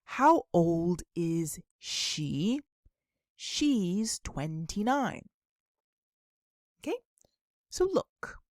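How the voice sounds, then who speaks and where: Female language teacher, extremely unhurried delivery, dry close sound, careful enunciation of simple practice sentences for learners. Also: fairly low pitch, very animated, a little mellow, dry recording. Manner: deliberate, instructional, enunciating and repeating phrases. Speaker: English teacher. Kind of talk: beginner English lesson with repeated model questions and answers.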